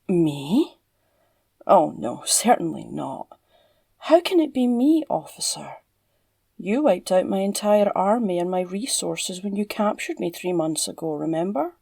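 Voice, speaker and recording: A woman performs an obsessive female crime boss with a Scottish accent in an intimate ASMR roleplay. Her voice is fairly low and full of gravelly fry, recorded close and dry. She drawls teasingly, flirtatious and unhinged, slipping into breathy murmurs. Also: sing-song, measured pace, mellow, dry recording, heavily acted. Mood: teasing, coy. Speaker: audio roleplay voice actor. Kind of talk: ASMR villain roleplay monologue.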